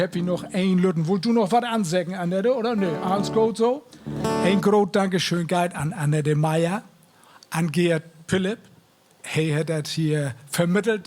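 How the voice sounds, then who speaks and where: A man's voice: fairly high pitch, a little mellow. A man with a North German accent, a singer chatting to his audience between songs in a folksy way at a live concert. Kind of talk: stage banter between songs. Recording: live concert sound with music. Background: music.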